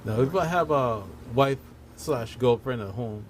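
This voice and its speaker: A man's voice: mid-range voice, a little slow, a little hiss or hum. A man taking part in a discussion, asking questions in a blunt, casual, colloquial way.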